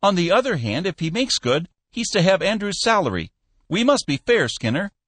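A man voicing a blustery, excitable old company boss for an audiobook, exclamatory and emphatic. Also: sits high, normal speed, no room sound, voice pushed up, heavily acted. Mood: mock-earnest. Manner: exclamatory, emphatic, folksy oaths. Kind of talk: audiobook character voice.

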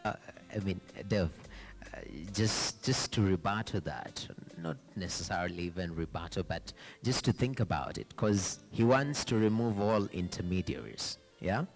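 A young man moderating a panel discussion with a handheld microphone, halting and repeating words. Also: low voice, expressive, faint music behind. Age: young adult. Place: indoor conference stage.

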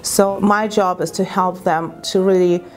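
Middle-aged russian woman, diction coach, talks slow and thoughtful in english w/ little slips, lapel mic interview. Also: on the low side, fairly even intonation. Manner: thoughtful, unhurried non-native English with small grammar slips. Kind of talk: documentary interview.

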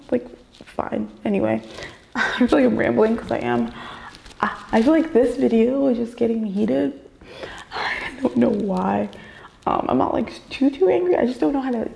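Young woman, story-time vlogger; rambling, filler-heavy, gravelly fry throughout, sounding a bit under the weather. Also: fairly high pitch, mellow. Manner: rambling, chatty, many fillers and restarts. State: slightly off voice after a vaccine shot. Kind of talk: casual story time vlog. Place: bedroom gaming setup, indoors.

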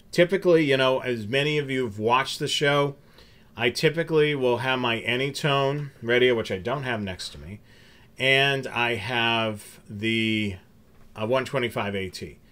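A man's voice: medium pitch, a bit bright. A middle-aged American man hosting a hobby show, talking casually and practically to viewers as he explains things step by step. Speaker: host of a railfan show. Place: small home office with bookshelves and curtains, indoors.

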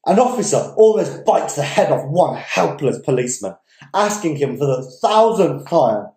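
Echoey, mellow man reading dramatically. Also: on the high side, sing-song. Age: young adult. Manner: theatrical, emphatic, with deliberate pauses. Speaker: story reader. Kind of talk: dramatic reading of literary fiction. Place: indoors, small domestic dining room. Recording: echoey room.

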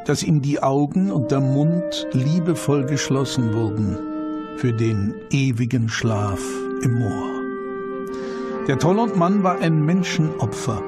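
A man reading scripted documentary narration with measured, dramatic phrasing and rhetorical questions. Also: fairly high pitch. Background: background music.

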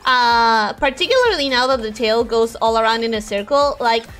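A woman's voice: fairly high pitch, normal speed. A woman who is a native Spanish speaker, chatting casually and expressively as she livestreams a game. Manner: animated, expressive, exclaiming. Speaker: game streamer. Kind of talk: casual livestream chat over gameplay.

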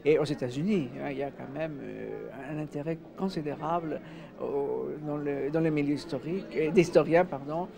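Mellow, higher-pitched man with creaky voice. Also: normal speed, crowd noise. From Mexico. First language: Spanish. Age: middle-aged. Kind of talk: interview answer, reflective. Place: indoors, exhibition room.

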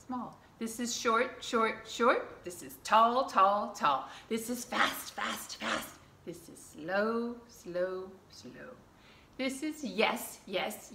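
A woman's voice: mid-range voice, pushed and bright. A middle-aged woman leading a storytime for toddlers, speaking in simple, short, repeated phrases as a children's librarian. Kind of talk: children's storytime, reading aloud and counting along. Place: small indoor room with curtain backdrop. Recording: echoey room with clear background noise.

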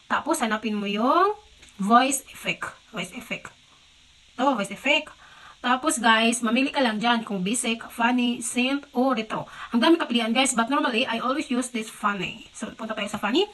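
A woman's voice: on the high side, brisk, a bit bright, poor audio. A young woman with a Filipino accent giving a casual step-by-step tutorial, chatty and often repeating her phrases. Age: young adult. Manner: chatty, repeats phrases, code-switches between English and Filipino. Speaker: video editing tutorial host.

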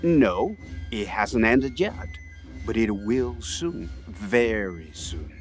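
A man playing an earnest shaman and history teacher in an audio drama, with a measured, mystical manner. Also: fairly low pitch, lively intonation, soft background music, heavily acted. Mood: solemn, foreboding.

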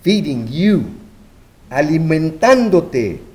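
An older man giving a measured, bilingual dramatic poetry reading at a microphone. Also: a little high, big pitch swings. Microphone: stand microphone at lectern.

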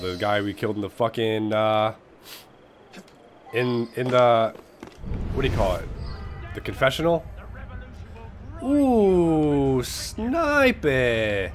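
A man's voice: lowish voice, lively intonation, takes it slowly, pauses often, a little hiss or hum. A young man with a stuffy nose giving casual, profane let's-play commentary, rambling and taunting enemies on screen. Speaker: gameplay commentator.

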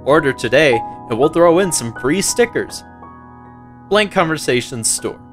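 A man's voice: a little high, animated, measured pace. A man reading a scripted sponsor advertisement as an announcer. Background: guitar music.

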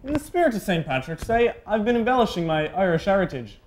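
A man's voice: high-pitched, big pitch swings, quick pace, a little hiss or hum, rough recording. A teenage male student anchor doing scripted comedic news banter in a put-on, exaggerated Irish accent. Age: teens. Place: small indoor school TV studio.

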